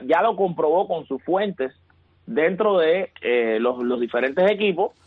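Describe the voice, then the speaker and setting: A man talking baseball on a sports radio show, emphatic and building excitement as he argues his point. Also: a little high, no room sound. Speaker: baseball analyst. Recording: tinny phone line.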